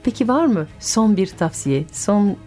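A French woman with a French accent, hosting a radio show and telling a folk tale in an expressive, musical way. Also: mid-range voice, a little slow, in a large hall, soft background music. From France. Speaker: radio host and storyteller. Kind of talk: storytelling for adults on radio.

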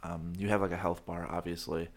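A young man hosting a casual podcast, his voice hoarse from shouting, talking in a goofy, rambling way with frequent restarts. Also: sits low, little pitch movement, a little fast. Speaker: podcast host. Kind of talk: casual chat.

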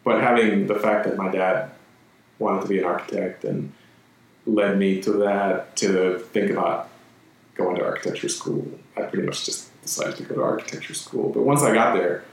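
Interview guest: man from Chile, low voice, hesitant and reflective, recorded distant and echoey. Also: fairly even intonation. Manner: thoughtful, halting, many restarts and fillers. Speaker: guest, architect and screen printer. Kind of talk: relaxed conversational interview.

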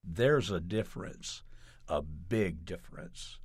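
Man playing a slow, solemn mayor. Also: low voice, breathy edge, no room sound, studio quality, voice pushed down, full character voice. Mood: pointed, knowing. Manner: grave, pointed, deliberate. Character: solemn male small-town mayor delivering grave criticism.

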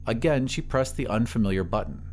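A man narrating a story in a deliberate, literary, dramatic scripted style. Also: medium pitch, animated. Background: music.

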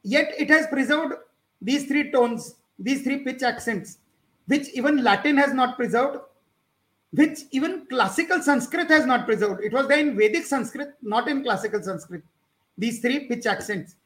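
A man's voice: extremely high pitch, lively intonation, a bit bright, low-quality recording. A man, a Konkani speaker, explaining points step by step as a podcast guest. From India. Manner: measured, explains step by step with examples. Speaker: podcast guest, author on linguistics. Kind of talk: explanatory lecture-like talk.

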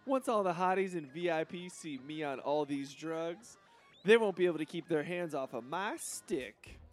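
A man voicing a bratty, boastful, self-absorbed teenage rich-boy hero in an animated comedy, whining and bragging with sudden loud outbursts. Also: fairly high pitch, moderate pace, soft background music, natural voice.

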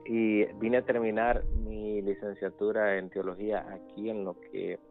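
Low-voiced man, muffled recording. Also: little pitch movement, soft background music. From El Salvador. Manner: halting, repeats words while searching for phrasing. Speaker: interview guest, youth ministries pastor. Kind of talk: casual spoken testimony.

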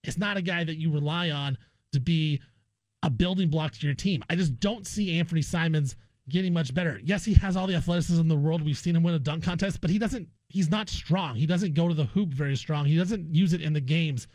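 A man's voice: a little high, a little fast, a little mellow, no echo, low-quality recording. A man hosting a sports talk radio show, giving opinionated commentary in a conversational way. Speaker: sports radio guest host. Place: radio studio.

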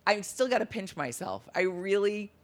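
A woman with a low, deep-timbred voice, telling stories in a casual interview and acting out the dialogue. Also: normal speed. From Las Vegas. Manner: animated, acts out quoted dialogue. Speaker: comedian guest.

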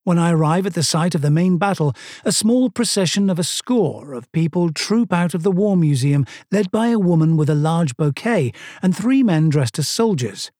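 A man's voice: fairly high pitch, expressive, normal speed, darkish tone, no room sound. A man from Sweden narrating a first-person travel story with dry, self-mocking humor.

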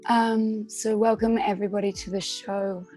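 Hoarse woman hosting. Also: mid-range voice, flat delivery, normal speed, dark tone, soft background music, low-quality recording. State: hoarse, lost voice. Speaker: podcast host. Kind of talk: casual interview hosting.

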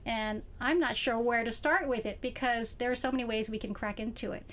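A woman hosts a radio interview, her voice sitting fairly high. She asks long, thoughtful questions, trailing through fillers as she thinks aloud. A faint hiss of noise lies under her voice. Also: fairly quick, a bit bright.

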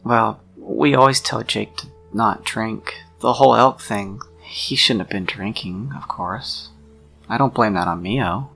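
A man playing a troubled, protective friend of a missing woman in a role-play drama, speaking in a halting, deliberate, sincere way with a gravelly voice. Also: fairly low pitch, pushed and bright, heavily acted. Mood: guarded, serious. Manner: halting, deliberate, pausing mid-sentence. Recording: music under the voice.

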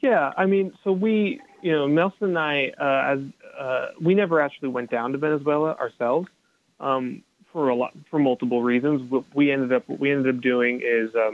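A man's voice: mid-range voice, no echo. A documentary filmmaker who grew up in the United States, a Colombian American man, answering interview questions hesitantly, with many restarts. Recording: dry tinny phone-like line.

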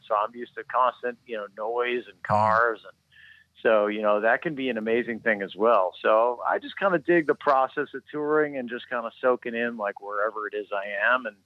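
A man from Chicago, a musician guest on a phone call, talking in a relaxed, upbeat way. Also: lowish voice, fairly quick, no room sound. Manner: relaxed and upbeat, many fillers. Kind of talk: casual phone interview. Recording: tinny phone line.

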